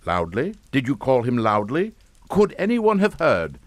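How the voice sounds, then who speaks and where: A man's voice: medium pitch, wildly swooping pitch, unhurried. An actor playing a stern, calculating English father in a radio suspense drama, with a clipped, commanding manner. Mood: probing, grave.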